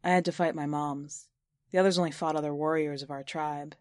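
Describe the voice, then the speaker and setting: Young male warrior for audio fiction, read by a woman: proud, terse, intense, lowish voice. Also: fairly even intonation, fairly quick, no echo, studio quality, natural voice.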